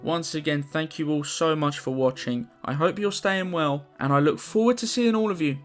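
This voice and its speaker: A young man, slightly hoarse after the flu, narrating a game challenge with jokey, self-deprecating commentary. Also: on the high side, normal speed, soft background music. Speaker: gaming YouTuber.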